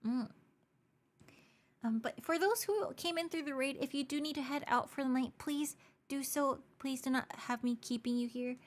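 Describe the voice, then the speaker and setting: A young woman streaming live, chatting casually with viewers in a bubbly, giggly way while her voice is going hoarse. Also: sits high, moderate pace. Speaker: VTuber streamer. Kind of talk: casual livestream chat, switching between English and Japanese.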